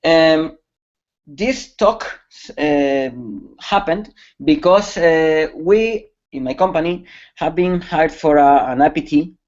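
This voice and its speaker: A man's voice: on the high side, animated, takes it slowly, a little mellow. A man from Spain with a Spanish accent, giving a webcast security talk in English, hesitant with many fillers and restarts. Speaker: security conference speaker. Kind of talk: webcast presentation. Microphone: computer mic on a video call. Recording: dull lo-fi webcast audio.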